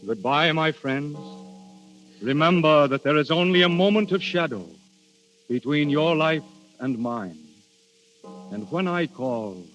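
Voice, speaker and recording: An actor playing Death disguised as a mortal prince, a tormented lover, with halting, solemn delivery in old radio drama style. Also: male voice, mid-range voice, fairly bright tone. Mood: tender, solemn. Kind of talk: 1930s radio drama acting. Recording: muffled old radio broadcast with music.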